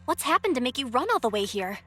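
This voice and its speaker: A woman's voice: a little high, sing-song, fast talker, fairly bright tone, soft background music. A woman playing a polite, helpful young woman in a video game. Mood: polite, apologetic. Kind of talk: video game character acting.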